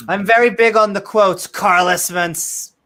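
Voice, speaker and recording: A woman co-hosting a casual livestream chat. She tosses out quick jokes and one-liners in a relaxed, offhand delivery. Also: mid-range voice. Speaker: co-host.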